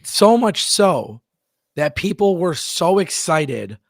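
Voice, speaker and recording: Guy hosting a wrestling livestream, gravelly voice, dry close mic, keeps stressing his points. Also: on the high side, sing-song, slow pace, no echo. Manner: emphatic, repeats words, reads viewer messages aloud. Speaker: wrestling show host. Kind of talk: casual live-stream chat.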